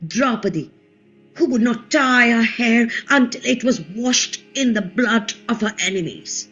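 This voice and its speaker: Woman acting a proud vengeful Indian epic queen, slightly high voice, roomy muffled recording, radio play, emphatic. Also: expressive, a bit bright, soft background music. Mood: bitter, defiant. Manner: slow, declamatory, emphatic. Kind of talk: dramatic play reading, radio play.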